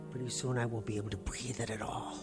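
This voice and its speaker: A woman's voice: extremely low pitch, flat delivery, fast talker, darkish tone, faint music behind. An actress playing a weary, resentful middle-aged niece who answers her uncle with clipped, sarcastic retorts. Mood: tart, weary. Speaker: put-upon niece and housekeeper. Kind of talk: 1960s TV drama acting.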